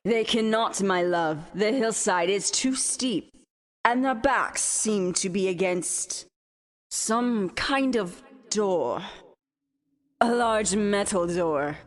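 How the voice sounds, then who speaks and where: A woman's voice: mid-range voice, lightly acted. A woman playing a devoted villainous sorceress queen in a fantasy audio drama, speaking formally and deferentially. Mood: watchful reporting. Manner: formal, deferential, theatrical, measured.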